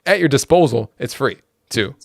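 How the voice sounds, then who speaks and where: A man hosting a casual podcast, relaxed and easygoing, reading out viewer questions. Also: mid-range voice, normal speed, gravelly, no echo, clean studio sound. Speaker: podcast host.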